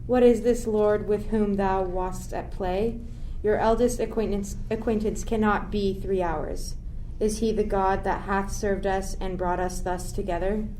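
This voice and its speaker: A woman plays a grieving royal mother in a Shakespeare radio play. Her voice sits at middle pitch, solemn, formal and declamatory, over faint ambient noise. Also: steady tone, measured pace, mellow, close to an everyday voice. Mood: solemn wonder. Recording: noisy recording with ambient sound texture.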